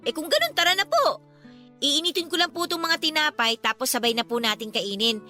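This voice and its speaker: An actress playing an outspoken, fiery grown daughter in a Filipino radio drama, speaking bluntly and emphatically but with respect. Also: female voice, sits high, expressive, brisk, sharp tone. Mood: playful, cheerful. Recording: studio drama with music behind.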